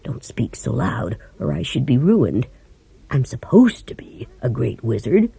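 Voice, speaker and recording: A woman voicing a timid little old man who talks in a meek, hushed whisper. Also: sits low, big pitch swings, normal speed, creaky, breathy edge, dry recording, voice pushed down, exaggerated voice.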